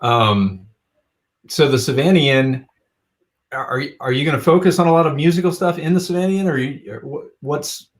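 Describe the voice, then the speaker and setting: A man's voice: fairly high pitch, normal speed. Easygoing male podcast host. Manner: affirming, brief interjections. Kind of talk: casual interview chat.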